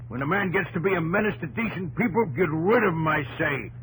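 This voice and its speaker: An actor playing a fiery American frontier newspaper publisher and vigilante leader, blustery and folksy, in a 1950s radio drama. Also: male voice, on the high side, lively intonation, a little fast, fairly bright tone, husky, full character voice. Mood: fiercely righteous. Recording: noisy old radio broadcast with hum.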